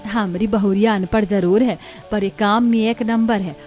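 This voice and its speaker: A woman's voice: mid-range voice, fairly even intonation, moderate pace, a little mellow, lightly acted. Woman acting a proud rustic village mother-in-law, music behind. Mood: proud, defensive. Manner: homely, rustic dialect speech.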